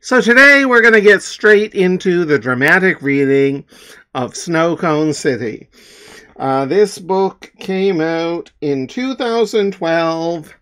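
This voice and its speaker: A man's voice: fairly high pitch, expressive, takes it slowly, pushed and bright, clear, resonant tone. A man talking casually and emphatically as a comic author introducing his own dramatic reading.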